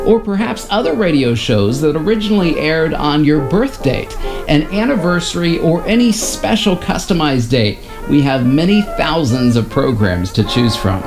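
Lively middle-aged male host over music. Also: a little high. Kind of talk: scripted presentation, narration.

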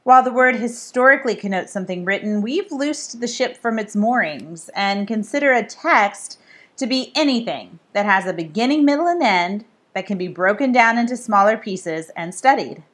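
A woman author reading aloud from her nonfiction book, explaining in measured phrases. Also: fairly high pitch, lively intonation, a bit bright. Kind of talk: scripted read-aloud of nonfiction.

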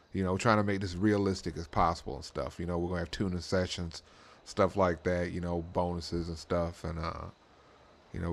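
Low, monotone man talking casually. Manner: laid-back, slangy, repetitive tag phrases. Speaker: host of a racing roleplay channel. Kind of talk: casual rambling gameplay commentary.

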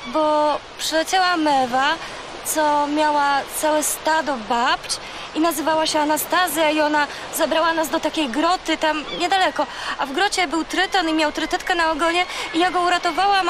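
A woman's voice: extremely high pitch, background noise. A woman playing a brave, imaginative thirteen-year-old girl, eager and childlike, in a children's audio drama.

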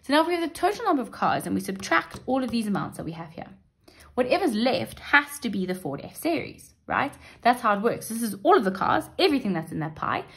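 A woman's voice: on the high side, big pitch swings, a little fast. Chatty woman teaching maths. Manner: chatty, reassuring, checks in with "right?" and "okay?". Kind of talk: exam paper walkthrough lesson. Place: indoors at a wooden desk.

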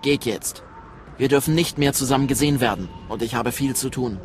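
A man's voice: mid-range voice, fairly quick, slight background noise, lightly acted. Shrewd, scheming villainous man voiced by an actor. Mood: urgent, guarded. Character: shrewd, scheming adult male Sith agent. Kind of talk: dramatic game voice acting.

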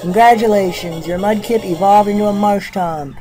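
A woman's voice: medium pitch, moderate pace, warm tone, poor audio. A woman hosting a let's play, chatting casually and reading game text aloud in a playful way, with game music behind her. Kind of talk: casual gameplay commentary.

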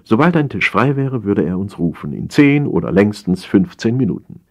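Low-voiced, very animated male storyteller. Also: moderate pace. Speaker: audiobook narrator. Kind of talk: scripted humorous narration.

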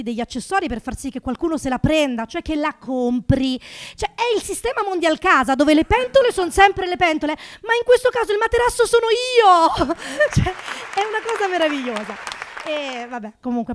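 A woman in her thirties from Naples performing a stand-up routine into a handheld microphone on a small club stage, with a sarcastic, animated delivery that jumps up for punchlines. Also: sits high. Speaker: stand-up comedian.

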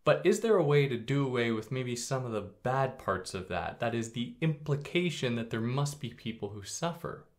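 A young adult man hosting a podcast, explaining philosophy in a relaxed, conversational way with a soothing voice and sudden upward jumps in pitch. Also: moderate pace, few pauses. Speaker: podcast host. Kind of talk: casual explainer monologue.

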